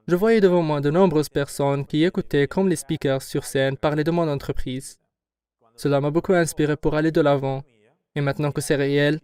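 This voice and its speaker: A man in his forties with a smooth, resonant voice that sits a little high for a man. He speaks in a dry, close studio sound. His manner is formal, measured and sure of himself. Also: darkish tone, dry recording. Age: 40s. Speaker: company founder interviewed. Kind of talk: formal read-aloud interview answers.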